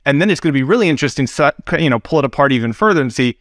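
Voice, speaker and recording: A man working as a consultant on a business podcast, his voice rough and a bit deeper from a recent cold, speaking frankly and with animation. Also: expressive, racing, a bit bright, no echo, studio quality. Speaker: consultant, co-host. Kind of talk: casual business podcast chat.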